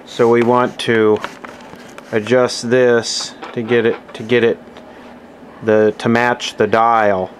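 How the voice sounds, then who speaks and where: A man's voice: mid-range voice, flat delivery, takes it slowly, slight background noise. A man casually demonstrating a radio repair at his workbench, explaining each step plainly as he works. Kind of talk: casual hands-on workshop demo.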